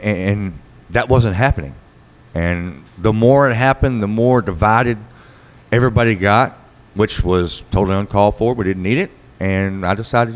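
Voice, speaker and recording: A middle-aged man with a loud, carrying voice, answering interview questions casually as a city council candidate at an outdoor bar stage. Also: on the low side, big-room sound.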